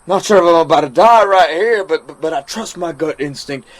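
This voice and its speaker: An actor playing a haunted American soldier, telling his story in a halting, stammering dramatic monologue with an American accent. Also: a little high, lightly acted. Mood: agitated, emphatic. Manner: halting, stammering, repeats words. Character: haunted male American soldier recounting a deadly standoff. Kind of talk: dramatic first-person monologue.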